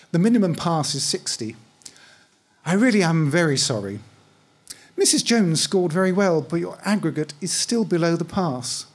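A man reads the part of a female county official in a short story. The delivery is husky and polite, with scripted customer-service sympathy and an officious edge. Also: on the high side. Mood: apologetic, officious.

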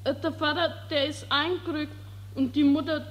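Casting: woman playing forlorn teenage street girl, very high voice, meek and halting, vintage radio drama. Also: steady tone, natural voice. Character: downtrodden seventeen-year-old street girl. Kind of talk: mid-century German-language radio drama acting.

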